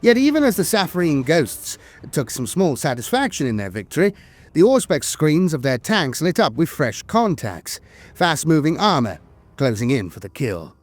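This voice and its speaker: A man's voice: on the high side, very animated, moderate pace, dry recording, faint music behind. A man reading scripted war fiction as a lore narrator, with a dramatic storytelling delivery.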